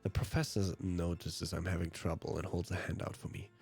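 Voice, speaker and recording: A man's voice: low-pitched, little pitch movement, brisk, darkish tone, faint music behind. A man whose native language is German, hosting a let's play and reading visual novel narration aloud.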